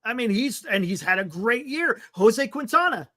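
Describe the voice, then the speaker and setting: A man with an American accent and a notably high voice talks sports casually. His tone is bright and forceful, recorded close and dry. He speaks bluntly and colloquially, as a co-host would. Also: big pitch swings, normal speed, no room sound. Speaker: sports talk show co-host.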